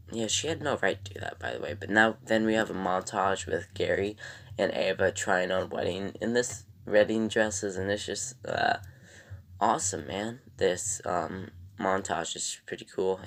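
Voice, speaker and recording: A man with a raspy voice casually recapping a TV episode as a reviewer, in a rambling, halting way. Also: low-pitched, fairly bright tone.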